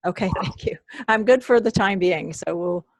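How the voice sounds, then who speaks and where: A woman presenting an online workshop, hoarse and losing her voice, often clearing her throat and apologizing. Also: mid-range voice, normal speed, a little mellow, no room sound. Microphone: computer mic on a video call.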